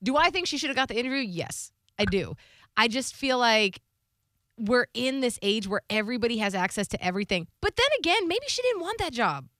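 A woman radio co-host with a high, bright voice that swings freely as she talks. Her delivery is conversational morning-show banter, captured dry and close. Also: brisk, no room sound. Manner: animated, conversational.

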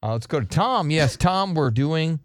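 A man's voice: on the high side, lively intonation, normal speed, no echo, clean studio sound. A man co-hosting a radio show, trading casual comedic banter and taking calls from listeners.

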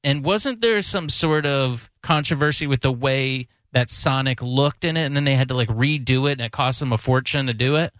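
A man's voice: mid-range voice, moderate pace, few pauses, a bit bright, dry recording. Middle-aged man, radio host, casual chat, asking questions, very dry close sound.

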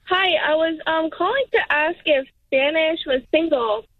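A woman's voice: very high-pitched, moderate pace, no room sound. A shy young woman in her twenties with a baby voice, calling a radio show on the phone. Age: 20s. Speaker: caller. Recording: tinny phone line.

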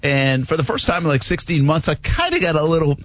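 A man's voice: fairly high pitch, very animated, quick pace, a bit bright, no echo. A man in his thirties hosting a sports radio show, chatting casually and rambling with many fillers and restarts. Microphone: studio microphone. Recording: muffled radio studio mic.

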